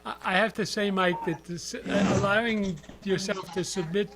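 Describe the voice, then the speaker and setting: A man's voice: high voice, steady tone, normal speed. A man assisting as host of a group video call, chatting casually in a dry, teasing way.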